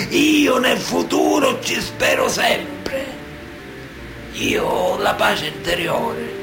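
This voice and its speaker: Music plays behind a roomy, echoing radio-play monologue. an old Sicilian mafia boss, very gravelly and piously aggrieved, declaiming his own innocence with theatrical self-pity. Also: extremely high pitch, exaggerated voice. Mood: pious, defiant. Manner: declamatory, sanctimonious, self-pitying.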